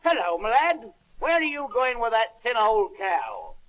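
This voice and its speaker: A man voicing a sly, flattering butcher in a storybook reading. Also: very high-pitched, measured pace, voice pushed up, heavily acted, low-quality recording. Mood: wheedling. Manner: wheedling, flattering. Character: sly smooth-talking male butcher.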